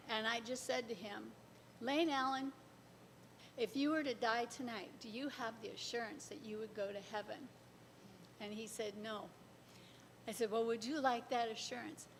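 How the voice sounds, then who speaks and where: A middle-aged woman from the congregation telling a personal faith story to a church group as a lively storyteller. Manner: lively storyteller, laughs between lines. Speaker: congregation member sharing testimony. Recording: far off in a large echoing hall, faint rumble.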